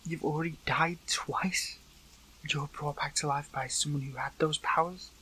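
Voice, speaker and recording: A man playing an earnest, hurt captive superhero in an audio roleplay, speaking in halting, pleading phrases. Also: a little high, fairly even intonation, sharp tone, slightly rough, heavily acted, rough recording. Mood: shocked, hurt. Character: captive male superhero, earnest, hurt, questioning an old friend. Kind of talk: dramatic audio roleplay acting, one side of a dialogue.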